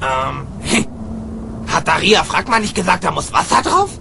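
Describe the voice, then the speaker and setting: A clearly noisy recording of a high-pitched, dopey cartoon teenage boy. He is raspy and excitable, stammers moronically, repeats himself and is quick to anger. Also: heavily acted. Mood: dopey, amused. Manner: moronic, repetitive, juvenile stammering. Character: dim, excitable teenage boy slacker, easily enraged. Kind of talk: animated comedy dub.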